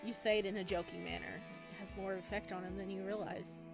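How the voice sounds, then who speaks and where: A woman game streamer reading visual novel text aloud with casual, expressive commentary. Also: mid-range voice, quick pace, creaky, music in the background.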